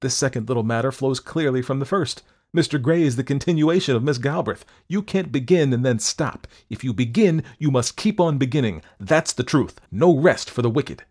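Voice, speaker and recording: A man acts out a cynical young male doctor with murder behind him, from a gothic storybook. He is controlled, worldly and persuasive, with a slightly creaky edge to his coaxing. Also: medium pitch, moderate pace, darkish tone. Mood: scornful insistence.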